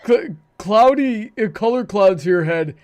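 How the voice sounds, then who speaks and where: A man hosting a livestream with casual banter, talking in a halting, good-humoured way. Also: high-pitched, animated, darkish tone. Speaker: livestream show host.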